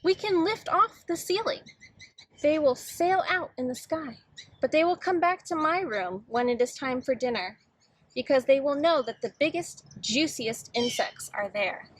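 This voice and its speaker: A woman's voice: high-pitched, animated, unhurried, low-quality recording. A woman reading aloud as an eager, imaginative little boy in a children's picture book, earnest and dreamy.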